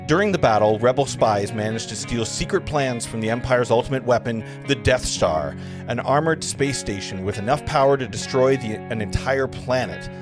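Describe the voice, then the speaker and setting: Male narrator for a table read, mid-pitched, stumbling a little through screen directions, music playing behind. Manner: halting, stumbling over the script. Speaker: narrator reading stage directions. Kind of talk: live table read of a film script.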